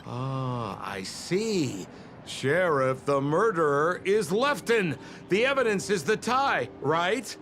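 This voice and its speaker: A man's voice: on the high side, big pitch swings, slow pace, background noise, full character voice. A man voicing a wry, reluctant young assistant detective in a video game, hesitant and exasperated in manner. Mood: doubtful. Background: music.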